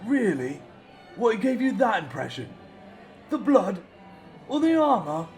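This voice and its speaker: A man playing a sarcastic, rough commoner in an audio drama, mocking and incredulous. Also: sits high, very animated, unhurried, darkish tone, soft background music, heavily acted. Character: sarcastic, foul-mouthed rough commoner in a hall.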